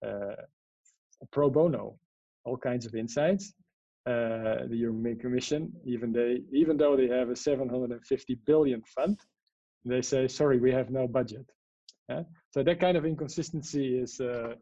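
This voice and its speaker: A man's voice: mid-range voice, mellow, no echo, highs cut off. A man from the Netherlands, a guest in an interview, explaining at length with many fillers and checking "yeah?" questions. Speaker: guest, AI strategist and author. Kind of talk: interview answers.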